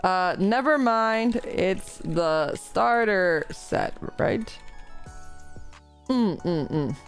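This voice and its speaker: Rambling, congested woman streamer. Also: mid-range voice. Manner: rambling, self-deprecating, reads chat aloud. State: slightly congested. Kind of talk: casual live-stream chat.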